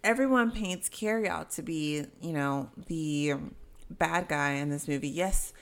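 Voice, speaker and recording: Laid-back lower-voiced woman podcaster. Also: unhurried. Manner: relaxed, swears, opinionated. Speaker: podcast co-host. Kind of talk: casual podcast chat.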